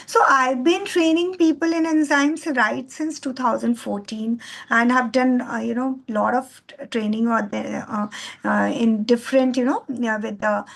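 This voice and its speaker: A woman's voice: fairly high pitch, vocal fry, fairly bright tone. A middle-aged Indian woman whose first language is Hindi, giving an earnest, rambling talk with many fillers and restarts as a guest trainer over a video call. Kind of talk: online lecture.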